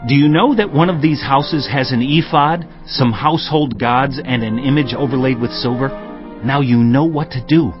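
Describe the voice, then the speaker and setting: A man playing a bold, forceful ancient warrior spokesman in a dramatized scripture recording, speaking with urgent, theatrical command. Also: mid-range voice, moderate pace, dull audio, over music, lightly acted. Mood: conspiratorial. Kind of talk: dramatized scripture audio acting.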